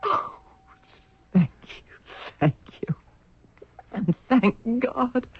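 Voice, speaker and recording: An actress playing an anxious, shaken wife, emotional and earnest, in an old-time radio drama. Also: female voice, a little high, animated, extremely slow, breathy edge, heavily acted. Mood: tearful relief. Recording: muffled radio drama recording.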